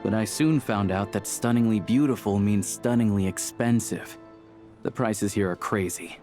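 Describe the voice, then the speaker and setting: A man's voice: on the low side, normal speed, mellow, soft background music, close to an everyday voice. A man playing an easygoing hunter and town guide in a video game, speaking in a casual, helpful, matter-of-fact way. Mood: wry, friendly. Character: easygoing young male small-time hunter acting as town guide. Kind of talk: video game character acting.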